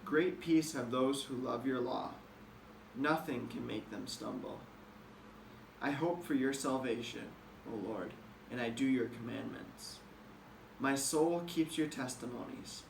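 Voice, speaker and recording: A man's voice: medium pitch, a little slow, gravelly. A man reading scripture aloud, recorded in a distant, echoey room with some noise. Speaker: Bible reader.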